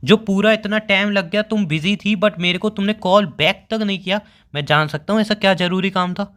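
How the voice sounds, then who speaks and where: A man's voice: fairly high pitch, a little fast, a bit bright, lightly acted. A young man playing a hurt, sulky boyfriend in an everyday phone-call drama, sarcastic and reproachful. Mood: accusing, frustrated.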